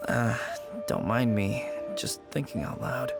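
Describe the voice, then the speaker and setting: An actress playing an anxious, weary funeral parlor employee in a video game, halting and muttering. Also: female voice, a bass voice, vocal fry, over loud music, full character voice. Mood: worried.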